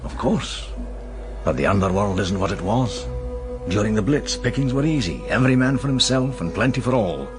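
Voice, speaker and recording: A man's voice: lowish voice, lively intonation, moderate pace, over music, natural voice. A man acting a courteous, shrewd London investigator with a British accent in a radio drama, polite and insinuating. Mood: probing, smooth.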